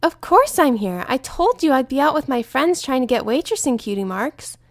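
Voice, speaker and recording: A woman's voice: high voice, big pitch swings, moderate pace, dry recording. A curious little unicorn filly, as a woman reader puts her on, innocent and matter-of-fact. Her voice is raised and childlike, springing up on questions, heard close and dry.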